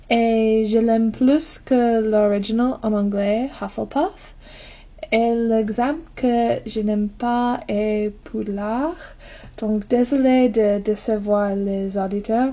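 A woman's voice: on the high side, dark tone. A native English-speaking woman with an American accent, leaving an informal message in careful learner's French. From United States. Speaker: podcast listener sending a voice message. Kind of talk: prepared, informal audio message.

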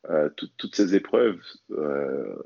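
A man's voice: extremely low pitch, big pitch swings, slow pace, creaky, dark tone, breathy edge, highs cut off. A man from French Guiana speaking casually and earnestly about himself as an interview guest, often repeating his words. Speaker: interview guest, athlete and bodybuilder. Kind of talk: casual personal testimony in conversation.

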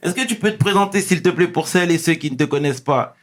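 A man's voice: a little high, animated, quick pace. A man hosting a talk show in an upbeat way, slipping English phrases into his speech. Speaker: host.

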